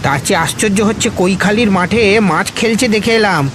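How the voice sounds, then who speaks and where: A man playing an excitable, deferential old village farmhand in an audio story. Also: sits high, moderate pace. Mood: amazed, excited. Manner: deferential, exclaiming, rustic. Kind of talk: Bengali audio story acting. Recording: rain and ambient noise behind.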